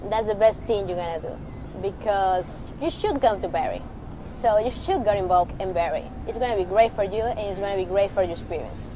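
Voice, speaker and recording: A woman's voice: medium pitch, fairly quick. Young woman from Venezuela; earnest, encouraging student testimonial, traffic noise around her. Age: young adult. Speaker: university student. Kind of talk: personal testimonial. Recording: outdoor street noise.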